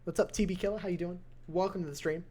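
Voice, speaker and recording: A young man with a voice going hoarse, calling a mobile strategy game match on his live stream. His play-by-play is excitable and builds to near shouts at close finishes. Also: high voice, fast talker. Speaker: esports caster and streamer.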